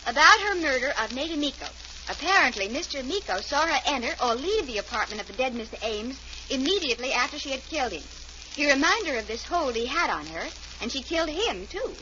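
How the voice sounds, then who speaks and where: An admiring, obliging secretary in a vintage radio mystery. Her voice is very high and eager, heard through the dull, hissy sound of an old broadcast. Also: very animated, fast talker. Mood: dutiful. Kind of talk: old-time radio drama acting.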